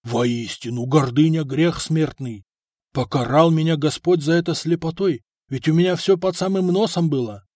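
A man's voice: fairly high pitch, moderate pace, darkish tone, no room sound, clean studio sound, lightly acted. A man voicing a burly, proud blacksmith who blusters at his wife in a storybook reading. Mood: awed murmuring. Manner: gruff, blustering.

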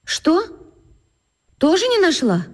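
An actress playing a worried, well-meaning schoolteacher, agitated and expressive, in a children's radio play. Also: female voice, extremely high pitch, slow, big-room sound, full character voice. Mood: upset, agitated.

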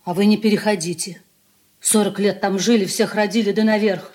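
An actress playing a discontented, nosy grown daughter in a radio play, brisk and complaining. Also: female voice, medium pitch, steady tone, a little mellow, close to an everyday voice. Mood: aggrieved.

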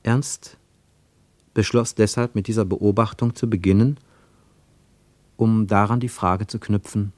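A man narrating a literary radio drama as a reflective observer, deliberate and given to rhetorical questions. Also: on the low side, fairly even intonation, darkish tone, no room sound, natural voice. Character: male narrator of a literary travel report, probing and reflective.

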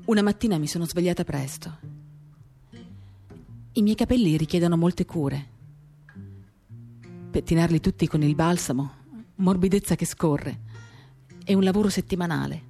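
A woman giving a measured, intimate dramatic reading of first-person literary narration in short, paused phrases, accompanied by acoustic guitar. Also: lowish voice, mellow. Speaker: reader of a novel.

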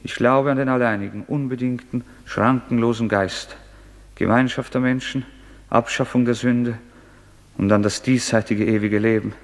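An actor playing a fanatical man who recites a grandiose creed in measured, incantatory phrases in a radio play. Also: mid-range voice, steady tone, close to an everyday voice. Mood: solemn, exalted. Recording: long cavernous reverb.

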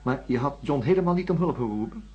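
A man's voice: fairly high pitch, lively intonation, fast talker, darkish tone, muffled recording, close to an everyday voice. An actor playing an inquisitive, insistent doctor in a radio drama, probing and murmuring as he listens. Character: inquisitive, insistent male doctor debriefing a patient.

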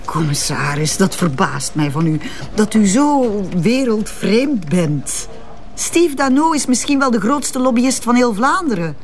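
A woman's voice: on the high side, very animated, a little slow. Knowing female editor, condescending, sardonic, swooping intonation, radio drama, noisy backdrop. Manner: sardonic, pointed, articulate. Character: cool, condescending female interim newspaper editor, knowing and coy. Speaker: actress in a detective audio drama. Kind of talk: naturalistic radio drama dialogue.

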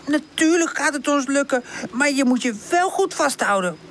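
A plucky, caring boy puppy from a children's storybook, acted out by a woman. The voice is pitched well up and moves animatedly. He sounds protective and brave, with some nerves underneath. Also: animated, moderate pace, a little hiss or hum. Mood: stern, caring. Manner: stammers and hesitates when worried. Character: brave, protective older boy puppy, reassuring but nervous.

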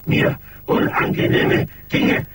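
An actor in a radio play voicing a proud, irritable man turning into a beast, hoarse and rattling, with haughty, drawn-out delivery. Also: very noisy, natural voice. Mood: haughty, indignant. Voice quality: hoarse, breathy, rattling rasp. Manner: haughty, defensive, drawn-out snapping. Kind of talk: 1980s German radio play acting.

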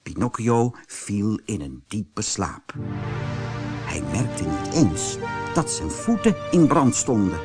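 A man narrating a children's fairy tale audiobook as an expressive, scripted storyteller. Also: lowish voice, slow, music in the background.

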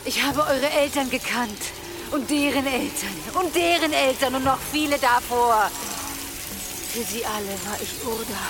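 Radio drama role, an age-old wise woman, higher-pitched voice, unhurried, grave and dramatic. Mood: weary, resigned. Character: ancient wise woman, solemn, weary, resolute. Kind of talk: German radio drama acting. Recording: loud music under the voice.

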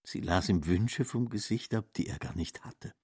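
A man reads literary narration in a radio play. His voice sits very low and has a husky, breathy grain. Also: normal speed, dark tone.

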